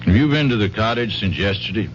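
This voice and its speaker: Radio drama police investigator male actor: low, animated delivery, folksy and probing, always nudging for answers. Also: fast talker, faint music behind, close to an everyday voice. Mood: businesslike. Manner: questioning, prodding with tag questions and murmurs. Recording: dull, noisy old radio broadcast with hum.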